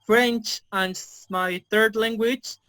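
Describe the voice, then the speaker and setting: A man in his twenties from Mexico, a native Spanish speaker with a Mexican Spanish accent, answering interview questions haltingly with many fillers. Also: sits high, steady tone, pushed and bright. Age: 20s. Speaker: interview guest. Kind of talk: casual video interview.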